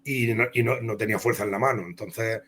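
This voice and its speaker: A man's voice: lowish voice, fairly even intonation, a little fast, a bit bright. Spanish man chatting over noticeable hum. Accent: Spanish (Spain). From Spain. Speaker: comic book author and interview guest. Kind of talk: conversational interview, telling career anecdotes.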